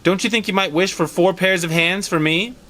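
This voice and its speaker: A man's voice: sits high, brisk, sharp tone, voice pushed up. A man voicing a fussy, scoffing old wife and mother in a storybook, with a chiding, questioning manner. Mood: teasing.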